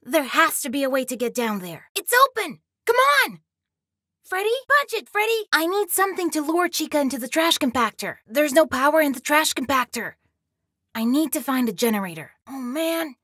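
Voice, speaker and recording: A woman's voice: high-pitched, big pitch swings, moderate pace, bright, forceful tone, no echo, clean studio sound, heavily acted. A woman voicing a young boy in a video game, scared but plucky and resourceful, speaking in an earnest, anxious way. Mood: determined, tense.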